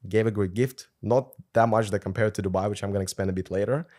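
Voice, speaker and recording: A man's voice: on the low side, fairly even intonation, fast talker, darkish tone. A man in his twenties with a Ukrainian accent, a podcast guest telling his story in an earnest, intense way. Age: 20s. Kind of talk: casual interview conversation.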